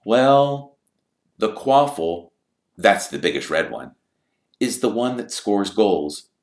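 A man voicing a tired, reluctant teenage boy who answers flatly and explains things with resigned patience. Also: fairly low pitch, slow pace, voice pushed up, heavily acted. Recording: roomy echo.

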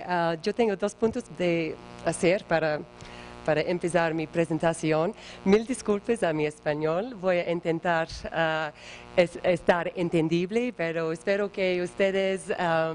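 A woman lecturing in a big auditorium in slow, halting second-language Spanish, searching for words. Her voice sits a little low, and a faint mains hum runs under the recording. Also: a little mellow. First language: English. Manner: hesitant, halting non-native Spanish with many fillers. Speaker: guest lecturer. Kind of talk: academic conference talk. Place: large auditorium. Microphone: panel table microphone, far camera.

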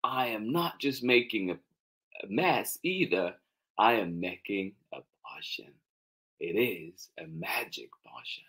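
A man voicing an excitable, earnest little boy mouse in a children's storybook. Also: lowish voice, slow, breathy edge, heavily acted. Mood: proud, matter-of-fact.

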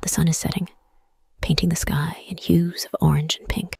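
A woman leads a guided meditation in a very breathy, partly whispered voice. It sits low, with a gravelly fry at the edges. She speaks in soothing, measured phrases with room between them. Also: level tone, mellow, no room sound, studio quality. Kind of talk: guided meditation, soft scripted narration.

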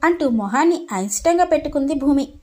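A woman narrates a scripted story. Her voice is high. She reads as a storyteller, linking the characters' dialogue with description. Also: lively intonation, fairly quick, a little mellow.

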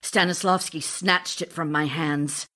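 An actress playing a devoted, anxious wife in an audio drama, voicing letters to her absent husband in an intimate, earnest, expressive way. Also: low voice, unhurried, full character voice. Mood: narrating, reflective. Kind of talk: audio drama acting, reading love letters aloud.

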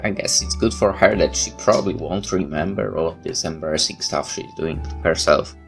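Male let's play narrator, low pitch, expressive story reading with casual asides, game music behind. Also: moderate pace, vocal fry. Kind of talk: reading a visual novel aloud with asides.

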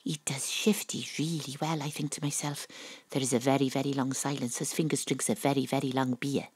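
A woman's voice: extremely low pitch, steady tone, brisk, a little mellow, breathy edge, dry recording. A woman playing a determined, wry Welsh private detective, acting and narrating in a noir audio drama. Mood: insistent.

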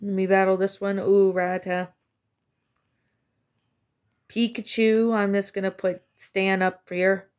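A woman's voice: medium pitch, level tone, unhurried, pauses often, a little mellow. A woman casually commenting on her own gameplay, chatty and rambling with many fillers, slightly congested from a cold. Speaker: let's play gamer.

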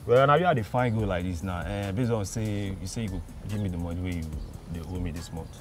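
A man's voice: deep voice, fast, music in the background, close to an everyday voice. A man with a Nigerian Pidgin accent playing an impatient young man who insists on getting back money he is owed. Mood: insistent. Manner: insistent, pressing. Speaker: creditor demanding repayment.

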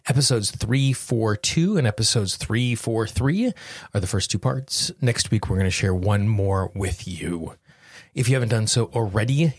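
A man hosting a self-help podcast, coaching listeners directly in measured, deliberate phrases. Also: lowish voice, breathy edge, no room sound. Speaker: podcast host and tapping coach.

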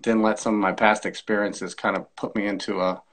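A man in his forties speaking as an interview guest in a measured, explanatory way, giving practical advice on a video call. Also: on the low side, level tone, fairly bright tone. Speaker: air traffic controller, podcast guest. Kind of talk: conversational interview, explaining tips. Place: small indoor room, video call.

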